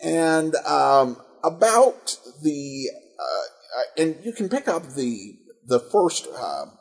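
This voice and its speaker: A man hosting a podcast, giving casual commentary in a halting way, often searching for words and correcting himself. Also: a little high, very animated, creaky. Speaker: podcast host. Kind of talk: casual commentary on old radio detective shows.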